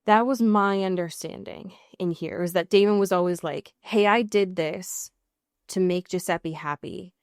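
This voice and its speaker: Lower-voiced American woman podcaster, emphatic and rambling. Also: a little slow, smooth, no room sound, clean studio sound. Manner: emphatic, sweary, rambling with self-corrections. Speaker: podcast co-host. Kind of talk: casual TV and film recap chat.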